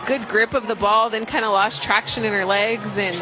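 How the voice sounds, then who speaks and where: A woman giving informal, conversational color commentary on a live softball radio broadcast. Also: mid-range voice, fairly even intonation, normal speed, over music, low-quality recording. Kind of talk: live sports analysis and booth chat. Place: outdoor softball stadium broadcast booth. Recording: loud crowd and outdoor stadium noise. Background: crowd noise.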